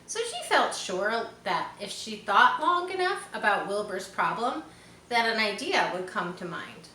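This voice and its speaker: A woman reading a children's story aloud, expressive, as if guiding young listeners through it. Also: medium pitch, a little slow. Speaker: storybook reader. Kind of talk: children's read-aloud narration. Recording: roomy echo, faint background noise.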